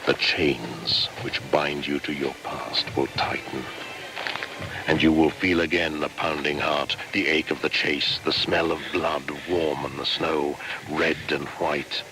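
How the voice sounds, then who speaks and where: A man's voice: extremely low pitch, fairly even intonation, slow, over music, exaggerated voice, low-quality recording. An actor playing an ancient, menacing shaman spirit in a radio play, speaking in a solemn, incantatory, portentous manner. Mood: ominous, commanding.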